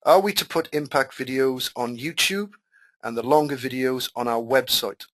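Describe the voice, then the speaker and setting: A man whose first language is Welsh runs a live online class, reading questions aloud and answering them at length. He talks in a chatty way and repeats his points, and his pitch sits in an ordinary middle range. It sounds like he is on a computer mic during a call. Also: normal speed, a bit bright. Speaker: webinar host and marketing coach. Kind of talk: live webinar Q&A.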